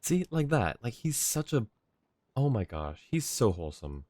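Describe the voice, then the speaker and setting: A man's voice: mid-range voice, sing-song, normal speed, mellow, dry recording. A man giving casual, laid-back live stream commentary as he plays a video game. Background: faint game music.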